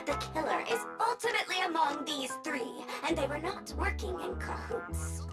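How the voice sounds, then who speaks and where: A woman's voice: sits high, lively intonation, normal speed. A woman voicing a playful, mischievous young game character with a theatrical, teasing manner. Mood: mock-solemn narrating. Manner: theatrical, teasing, speaks of herself in third person. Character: playful mischievous young woman staging a mock murder mystery. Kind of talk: video game character acting. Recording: loud music behind voice.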